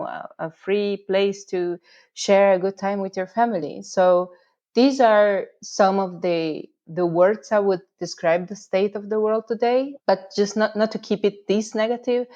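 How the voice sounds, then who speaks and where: A woman's voice: mid-range voice, darkish tone, lo-fi sound. A woman from Croatia with a Croatian accent, answering conversationally as an interview guest. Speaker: interview guest, urban activism project founder.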